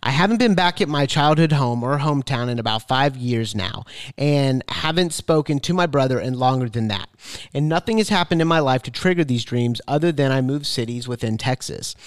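A man co-hosting a casual podcast, reading listener messages aloud in a playful, expressive way. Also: medium pitch, a little fast, dry recording, clean studio sound. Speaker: podcast co-host.

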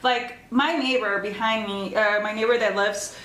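A woman's voice: mid-range voice, fairly even intonation, normal speed, fairly bright tone. An American woman from the Chicago area, talkative and passionate, chatting casually as an interview guest. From Chicago area, United States. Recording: roomy echo, clear background noise.

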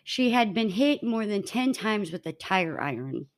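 A woman's voice: medium pitch, sing-song, measured pace. A young woman co-hosting a casual podcast, telling a story in a matter-of-fact way to her friends. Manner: matter-of-fact, laughs between lines. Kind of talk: reading a case aloud to co-hosts.

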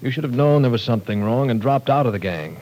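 A man's voice: mid-range voice, animated, fast, dark tone, natural voice, poor audio. An actor playing a firm, fatherly professor in a 1940s radio drama, lecturing and cutting in to keep the talk in order. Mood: stern.

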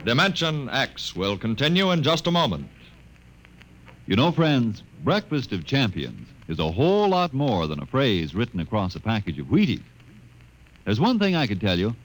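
A man's voice: mid-range voice, huge pitch swings, moderate pace, slight background noise, rough recording. A man announces in an old-time radio ad, folksy and upbeat. His voice swings up and down strongly as he sells. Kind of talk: vintage radio ad read.

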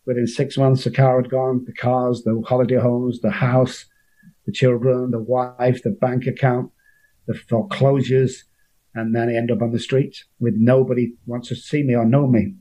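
A man's voice: mid-range voice, little pitch movement, moderate pace, mellow, poor audio. A middle-aged man with a Manchester accent, a guest telling his recovery story in an earnest, emotional way with frequent restarts. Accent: Manchester English. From Manchester, England.

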